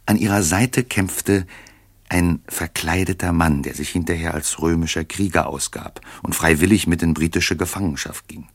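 Very low man's voice, radio drama narrator, husky, dry studio sound. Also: moderate pace, no room sound.

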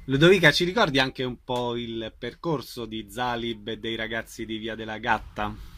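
A man's voice: mid-range voice, fairly even intonation, normal speed, a bit bright. A man hosting a radio interview and putting questions to a guest.

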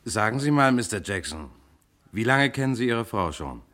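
Low-voiced, probing police inspector. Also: male voice, big pitch swings, normal speed, a bit bright. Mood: probing, insistent. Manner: pointed, insistent questioning. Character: probing, composed male police inspector questioning suspects. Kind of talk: German radio crime drama acting.

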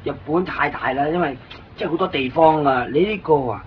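An actor playing a talkative, excitable young Hong Kong man living in Tokyo who keeps joking and offering to guide a visitor, speaking with a Hong Kong Cantonese accent in a 1990s radio play. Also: fairly high pitch, a little fast, slight background noise, close to an everyday voice. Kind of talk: 1990s radio drama acting. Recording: dull lo-fi 1990s radio recording.

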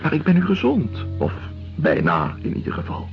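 A man's voice: on the high side, wildly swooping pitch, normal speed, vocal fry, full character voice. A man playing an ailing, idealistic doctor in a radio drama, insistent and dismissive about his illness. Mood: dismissive, defensive. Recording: dull radio recording with music behind.

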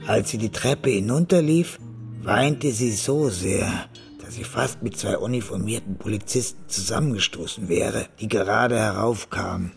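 A man narrating a radio crime story in a measured, grave delivery. His voice is fairly low and gravelly, and music plays clearly behind him.

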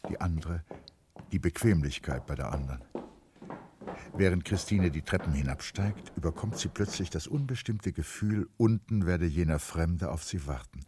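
A male narrator with an extremely deep, very husky voice. He reads scripted stage-like descriptions for a radio drama in a measured, literary way. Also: a little mellow.

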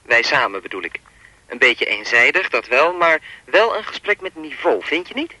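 A man's voice: medium pitch, lively intonation, moderate pace, bright, forceful tone, no echo. An actor playing a chatty, lewd and menacing anonymous male caller in a radio play, insinuating and mock-polite as he rambles. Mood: smug, pressing.

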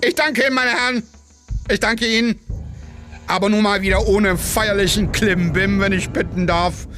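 A man's voice: high voice, fairly even intonation, moderate pace, music in the background, pitched above the performer's own voice, lightly acted. A man playing a blustering, jovial and condescending Prussian colonel in a satirical radio play, exclamatory and pompous, with a Prussian accent. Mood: hearty, commanding.

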